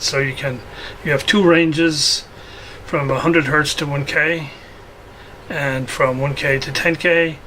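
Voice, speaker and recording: A man with a bright, slightly high voice talks casually through a hands-on project as a hobby video host. He is unscripted and a little hesitant, thinking aloud as he goes. Noise is audible behind him. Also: poor audio.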